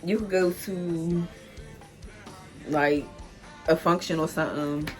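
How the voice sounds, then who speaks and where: Chatty young D.C. woman, low-pitched voice. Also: fairly even intonation, takes it slowly, faint music behind. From Washington, D.C. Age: 20s. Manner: loose and chatty, heavy "like" fillers, easy laughter. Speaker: vlogger. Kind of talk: casual solo vlog explainer.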